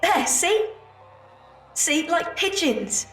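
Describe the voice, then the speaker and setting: A woman playing a plucky, sharp-witted young street girl in an audio drama, cheeky, keen and colloquial. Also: very high-pitched, sing-song, drawn-out words, recorded from a distance, soft background music, exaggerated voice. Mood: eager, explaining. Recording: echoey room, off mic, faint noise.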